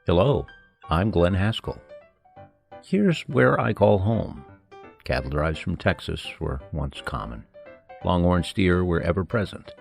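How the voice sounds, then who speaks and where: A man's voice: low voice, animated, measured pace, creaky, soft background music. An American man reading a folksy, scripted travelogue narration as a local guide.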